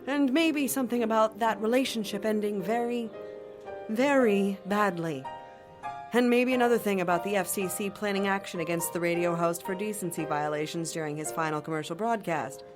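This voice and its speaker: An actress playing an articulate, self-assured corporate lawyer, giving a measured, wry first-person monologue in an audio drama. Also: female voice, mid-range voice, lightly acted. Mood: wry, composed. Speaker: narrator, chief counsel. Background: music under the voice.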